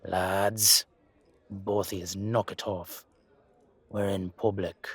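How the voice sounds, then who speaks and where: A matey, level-headed leprechaun, acted by a man, keeps the peace in plain colloquial talk. His voice sits low and husky, slow and conciliatory, in the manner of an audio roleplay. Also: little pitch movement, heavily acted. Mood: scolding.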